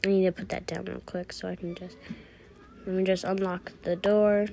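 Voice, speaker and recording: A woman's voice: lowish voice, level tone, normal speed, gravelly, very noisy. A woman casually improvising narration for a toy-app roleplay video, thinking aloud through each small action. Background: music.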